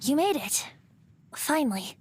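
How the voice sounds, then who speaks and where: A woman's voice: high-pitched, very animated, measured pace. A woman voicing a whimsical boyish bard, an ancient wind spirit in a youth's form, in a video game, with a breezy theatrical manner. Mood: playful, cheery. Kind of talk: video game voice acting, storytelling.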